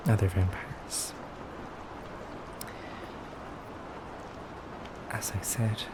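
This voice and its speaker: A man playing an effeminate vampire interrogator in an ASMR roleplay, speaking softly and deliberately with teasing, condescending menace and breathy pauses. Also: medium pitch, background noise, close to an everyday voice. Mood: cold, persuasive. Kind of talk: ASMR roleplay, soft intimate acting.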